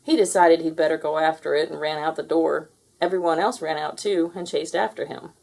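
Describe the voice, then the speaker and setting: A woman reading a children's story aloud with expressive, theatrical delivery. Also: low voice, a little fast, vocal fry. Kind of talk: animated scripted read-aloud narration.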